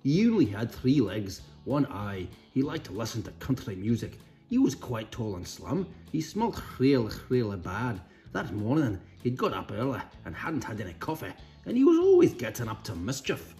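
A man reading a children's storybook aloud in a playful, expressive way with cheeky asides. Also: mid-range voice, normal speed, hardly any pauses, soft background music. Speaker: storybook reader. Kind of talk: animated read-aloud for children.

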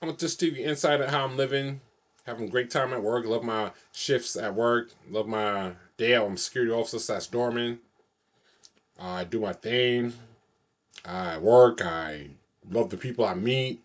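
A man's voice: medium pitch, normal speed. A man, vlogging casually to camera from his bedroom, repeating and circling back over the same phrases. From New York City. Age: 40s. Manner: repetitive, rambling, loops over the same phrases. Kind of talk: casual solo vlog to camera. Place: small bedroom, indoors.

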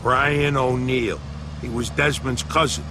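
A man's voice: medium pitch, steady tone, slow, lightly acted. A man playing a brash, easygoing mobster buddy in a video game, with an American accent and colloquial, streetwise talk. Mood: confident, scheming. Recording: noisy game ambience, engine sounds behind.

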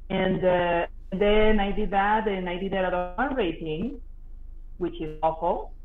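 A woman's voice: mid-range voice, unhurried, faint music behind. A woman with a Costa Rican Spanish accent tells her story animatedly on a video call, often restarting her sentences. Her voice comes through thin and phone-like. The room around her sounds echoey. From Costa Rica. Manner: lively, self-deprecating, frequent restarts. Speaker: guest. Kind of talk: casual interview chat. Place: home office. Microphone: headset on video call.

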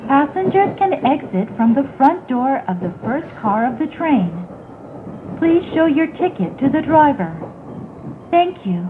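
A woman giving a scripted onboard train announcement in a formal, deliberate, polite manner. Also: very animated. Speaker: English-language train announcer. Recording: tinny phone-like playback, loud train noise. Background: rumbling train carriage.

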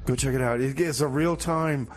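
A man hosting a radio show with casual comedic banter and song announcements, rambling and halting as he goes. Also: medium pitch, rough recording. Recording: clear background noise.

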